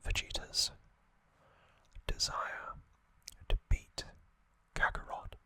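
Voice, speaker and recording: A man whispering an ASMR recap as host, soft and unhurried, with frequent hesitant fillers. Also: no room sound.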